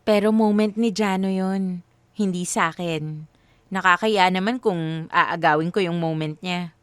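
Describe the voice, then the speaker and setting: A woman's voice: on the low side, unhurried, clean tone, no room sound, natural voice. A woman playing a nervous, bashful young woman in a radio drama, flustered and hesitant as she hides her feelings. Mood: shy, defensive. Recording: music bed under the voice.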